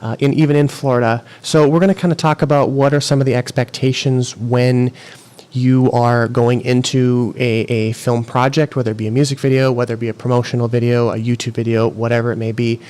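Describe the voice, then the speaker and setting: A man hosts an interview show at a middle pitch, his voice smooth and resonant. He introduces the guest loosely, with fillers and small restarts. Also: flat delivery, fairly quick, a little mellow. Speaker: podcast host. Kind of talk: interview hosting.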